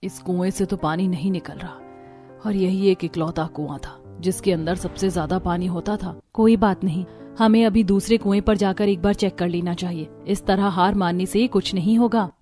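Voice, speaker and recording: A woman's voice: fairly low pitch, steady tone, moderate pace, dark tone, soft background music, lightly acted. A woman voicing earnest, fretful young village daughters-in-law in a cartoon story, with dramatic delivery. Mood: anxious. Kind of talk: Hindi cartoon story dialogue.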